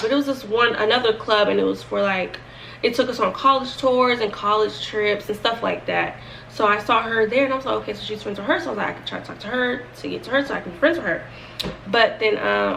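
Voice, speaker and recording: A woman's voice: mid-range voice, fast talker, vocal fry, fairly bright tone, distant mic, a little hiss or hum, poor audio. A young woman in her early twenties telling a casual storytime, rambling and chatty with many fillers and restarts. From Florida, United States. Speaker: vlogger.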